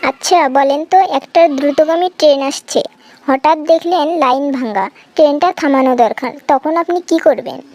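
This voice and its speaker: Very high-pitched brisk female interviewer, acted by a woman. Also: darkish tone. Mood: probing. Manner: formal, questioning. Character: brisk female job interviewer in a comic cartoon. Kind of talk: cartoon comedy dialogue.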